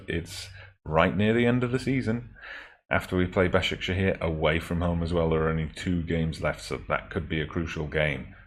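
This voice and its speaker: A man casually commentating on his own football management game, chatty and wry, his throat still a little raspy after the flu. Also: low voice, a little hiss or hum. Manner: chatty, self-correcting, wry asides. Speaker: gaming video host.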